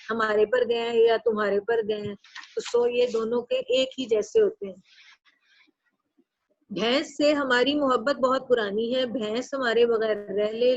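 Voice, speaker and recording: Woman teacher, Pakistani Urdu accent, fairly high voice; reads and explains line by line, dry and slightly dull recording. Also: vocal fry, no echo. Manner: reads a line aloud, then restates it in plainer words; jumps to a high pitch when stressing a point. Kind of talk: reading and explaining a text to a class. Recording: dull, muffled sound.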